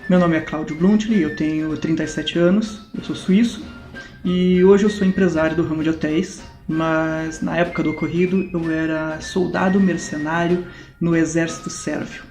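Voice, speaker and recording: A man with a Brazilian accent plays a wry former mercenary soldier in his late thirties, recounting battle with dry amusement. His voice is a little high. The sound is roomy, with faint music behind it. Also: fairly even intonation, normal speed, a little mellow, close to an everyday voice. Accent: Brazilian Portuguese. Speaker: acting student. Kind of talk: mock-documentary stage acting. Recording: echoey room.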